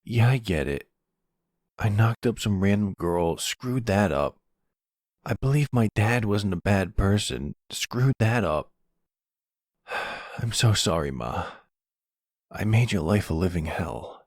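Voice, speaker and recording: Guy doing a troubled young son in roleplay audio, sulky n emotional, rough husky voice, dry close mic. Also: lowish voice, expressive, slow pace, long pauses, a little mellow, dry recording, pitched above the performer's own voice, full character voice. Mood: remorseful. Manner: defensive, casual, emotional. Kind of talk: ASMR roleplay audio drama acting.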